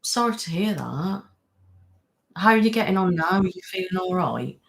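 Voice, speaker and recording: Woman, relaxed live-chat host who rambles and laughs a lot, fits an easygoing streamer read. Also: fairly low pitch, moderate pace, vocal fry. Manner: chatty, rambling, laughs often, thanks viewers by name. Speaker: ASMR channel host. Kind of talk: casual live-stream chat, reading comments.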